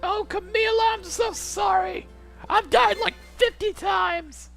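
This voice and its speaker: Woman playing a very squeaky young game heroine. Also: steady tone, slow, creaky, fairly bright tone, heavily acted. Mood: anxious. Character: excitable, dramatic young female game heroine. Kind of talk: game dialogue read-aloud in a let's play. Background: game music.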